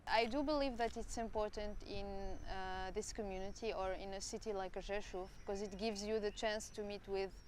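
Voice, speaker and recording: A woman's voice: mid-range voice, fairly even intonation, moderate pace, hardly any pauses, slight background noise. Tunisian woman in her twenties, reflective. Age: 20s. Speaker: volunteer. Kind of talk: interview answers.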